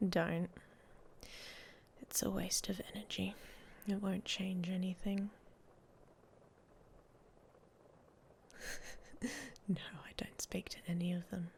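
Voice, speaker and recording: A woman playing a guarded, hot-tempered young werewolf in an ASMR roleplay, speaking in breathy half-whispers with a hesitant, dryly blunt manner. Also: mid-range voice, level tone, unhurried, a little mellow. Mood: bitter, dismissive. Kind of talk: ASMR roleplay audio story, intimate monologue. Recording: faint background noise.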